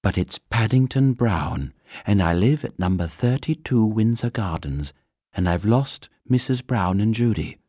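A man's voice: fairly low pitch, animated, measured pace, warm tone, husky, no echo, clean studio sound. A man voicing a small, polite young male bear who speaks with a hesitant, earnest manner.

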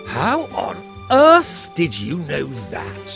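A man voicing an elderly male colonel who speaks in a polite, gentlemanly way, in an audiobook reading. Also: fairly high pitch, wildly swooping pitch, slow, gravelly, faint music behind, pitched above the performer's own voice, exaggerated voice. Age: older.

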